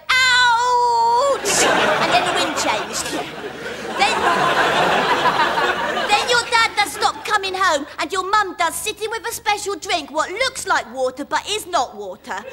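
A very high, earnest little girl, as a woman puts her on, explaining things in a matter-of-fact way with childish grammar. Loud audience laughter and crowd noise surround her. The comedy sketch carries steady background noise. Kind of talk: comedy monologue. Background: studio audience laughter.

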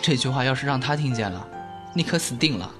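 Casting: male actor as sincere young man, mid-range, expressive, earnest, audio drama over prominent music. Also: mid-range voice, fast, lightly acted. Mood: familiar. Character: gentle, sincere young man, quietly devoted. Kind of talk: Chinese audio drama acting.

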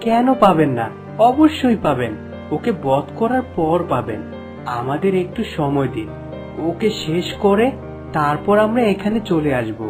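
A brave, caring fisher voiced by a woman with a fairly low pitch. The cartoon character speaks reassuringly, with music clearly playing behind. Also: sing-song, unhurried. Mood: firm, reassuring. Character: kindly, brave fisher companion who shelters a princess. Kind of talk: cartoon fairy-tale acting.

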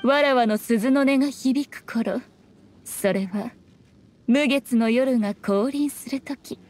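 A fox shrine maiden from an anime video game. Her female voice sits high, with archaic, haughty phrasing. She comes across as sly, elegant and playful, over faint background noise. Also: slow pace, a little mellow. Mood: composed, assured.

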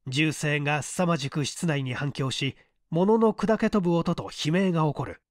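An audiobook reader giving a measured, dramatic narration of literary fiction. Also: mid-range voice, no room sound, studio quality.

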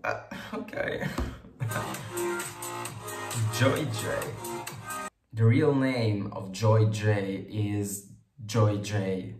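Young male host, slow, chatty reaction talk, low-ish voice, roomy bedroom sound with music underneath. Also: fairly even intonation, darkish tone, far from the mic. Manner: chatty, laughs often, slow deliberate phrasing. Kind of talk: casual reaction commentary. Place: bedroom, indoors.

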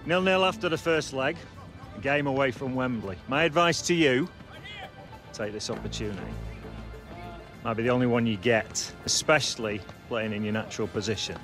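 An actor playing a stern middle-aged football coach in a video game, blunt and measured, with music behind him. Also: male voice, mid-range voice, natural voice. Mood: matter-of-fact. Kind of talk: video game cutscene acting.

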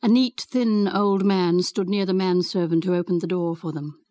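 A woman's voice: fairly low pitch, steady tone, moderate pace, warm tone, no echo. A woman reading a classic novel as scripted storybook narration for radio. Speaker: reader.

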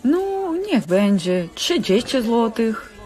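Actor playing greedy street trader, cartoon, wheedling sales cry, very lively melody, unhurried. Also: mid-range voice. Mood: bargaining. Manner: sing-song hawking, wheedling. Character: pushy, greedy market trader hawking wares. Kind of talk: animated stage-play acting.

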